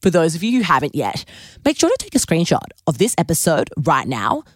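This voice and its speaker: Upbeat woman podcast host, lively delivery. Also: low voice, brisk, slightly rough, no room sound, clean studio sound. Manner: upbeat, enthusiastic. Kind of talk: scripted intro then interview chat.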